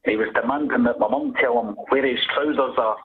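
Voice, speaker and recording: A man's voice: mid-range voice, fairly quick, creaky, breathy edge. A man with a Scottish accent calling into a talk show, telling a comic story and laughing along. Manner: animated, laughs at his own story. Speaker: caller. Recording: tinny phone line.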